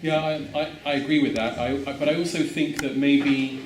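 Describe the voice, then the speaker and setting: A man at a public meeting making a measured point, with stammering restarts. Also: a little high, steady tone. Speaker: meeting attendee. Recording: roomy hall.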